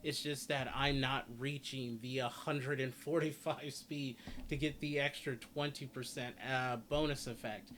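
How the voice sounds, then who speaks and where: A man's voice: medium pitch, fairly even intonation, measured pace, few pauses, a bit bright, a little hiss or hum. A man giving casual game guide commentary, rambling as he repeats and corrects himself. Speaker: gaming guide host.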